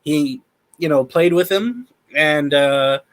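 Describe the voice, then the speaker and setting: A man co-hosting a sports talk show, recapping news in a steady, methodical way with many fillers. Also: a little high, takes it slowly. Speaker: podcast co-host.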